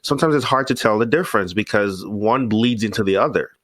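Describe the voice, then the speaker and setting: A fairly low-pitched man interviews a guest and asks his questions with eager enthusiasm. His voice sounds dry and close, with no room echo around it. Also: brisk, fairly bright tone. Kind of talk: conversational interview.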